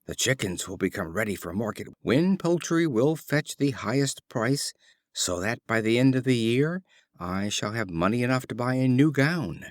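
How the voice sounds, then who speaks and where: Man reading as a vain daydreaming milkmaid, animated. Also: medium pitch, moderate pace, dry recording, clean studio sound, lightly acted. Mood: dreamy scheming.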